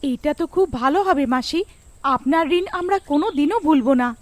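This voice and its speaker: A woman's voice: high voice, animated, moderate pace, no echo. A woman voicing an anxious, respectful young mother of a poor family in a cartoon story. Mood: grateful.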